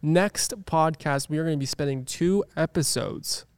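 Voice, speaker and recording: A man's voice: on the high side, moderate pace, smooth, dry recording. A man in his early twenties co-hosting a podcast, upbeat and playful, sometimes reading from notes. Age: 20s. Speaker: podcast co-host. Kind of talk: casual chat.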